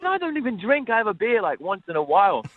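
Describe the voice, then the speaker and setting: English male caller, notably high-pitched voice, informal, dull phone-line sound. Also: big pitch swings, fast talker. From England. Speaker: phone-in guest. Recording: dull, band-limited phone line on radio.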